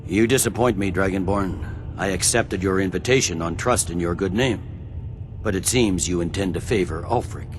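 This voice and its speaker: An actor voicing a stern, impatient middle-aged general in a fantasy game, clipped and commanding. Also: male voice, low-pitched, normal speed, music in the background, close to an everyday voice. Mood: bitter, threatening. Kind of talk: dramatic fantasy game dialogue.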